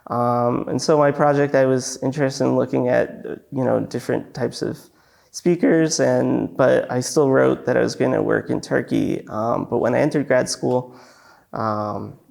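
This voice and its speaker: Gravelly young man, relaxed. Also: mid-range voice, normal speed. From San Diego, California. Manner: relaxed, thoughtful, many ums. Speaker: guest, PhD student. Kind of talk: casual podcast chat.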